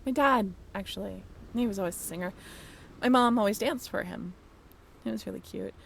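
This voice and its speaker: A woman's voice: medium pitch, moderate pace, creaky, fairly bright tone, a little hiss or hum. A woman playing a friendly, flustered young former college roommate in a romantic audio roleplay, talking in a bashful, hesitant, giggly and apologetic way. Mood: shy, warm. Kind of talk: romantic audio roleplay, naturalistic one-sided conversation.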